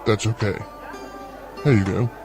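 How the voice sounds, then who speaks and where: A man's voice: on the low side, steady tone, unhurried. A man acting a smug, menacing, vengeful alien villain in an audio drama. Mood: polite. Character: vengeful alien villain confronting his own younger self. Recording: very echoey hall-like reverb, faint music.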